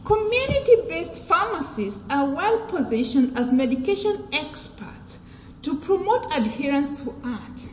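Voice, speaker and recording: A woman from Kenya with a British accent, giving a measured academic presentation with fillers and restarts. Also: sits high. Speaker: research presenter. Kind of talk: academic conference talk. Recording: echoey hall, dull sound, faint noise.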